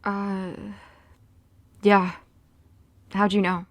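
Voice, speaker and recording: A woman's voice: mid-range voice, little pitch movement, very slow, dry recording. A young woman playing an anxious, wounded girlfriend in an audio drama. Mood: guarded, uneasy. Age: young adult.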